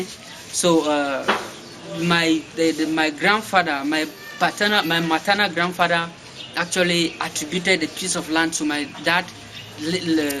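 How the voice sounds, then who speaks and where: Cameroonian man, high-pitched, hesitant. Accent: Cameroonian English. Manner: hesitant, many fillers and restarts. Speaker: guest. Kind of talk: interview answers. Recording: noisy background.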